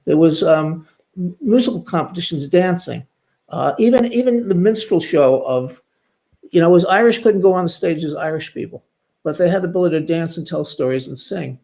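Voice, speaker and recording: A man talks as a historian in an informal scholarly discussion. His voice is slightly high, and he moves haltingly, repeating words and stacking short emphatic phrases. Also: expressive, vocal fry, warm tone. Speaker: panelist, historian. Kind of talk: informal scholarly panel talk.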